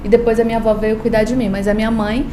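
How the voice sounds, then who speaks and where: A woman's voice: a little high, little pitch movement, rapid-fire, warm tone, echoey room, a little hiss or hum. A woman from Brazil, a lawyer and law professor, telling her story earnestly in a podcast interview, with frequent hesitations. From Brazil, born in Paraíba, raised in Brasília.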